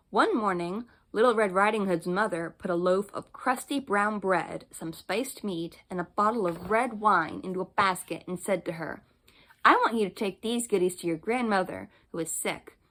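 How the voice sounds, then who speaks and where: A woman's voice: medium pitch, a bit bright. A woman narrating a children's bedtime story as she reads from a storybook. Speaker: storybook reader.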